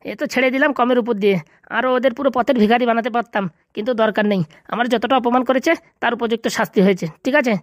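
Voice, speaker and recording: A man's voice: extremely high pitch, steady tone, very fast, no echo, studio quality. A man voicing a vengeful, bossy corrupt local politician in a comedy cartoon, boastful and insistent. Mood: smug. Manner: bossy, boastful, repeatedly checking he is understood.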